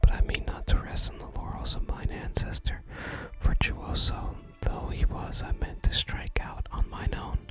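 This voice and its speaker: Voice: moderate pace, few pauses, faint music behind, natural voice. An ASMR gamer voicing a wistful wandering male bard from a fantasy game, whispering his florid, archaic lines. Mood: lofty, musing. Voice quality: unvoiced whisper. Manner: archaic, theatrical phrasing.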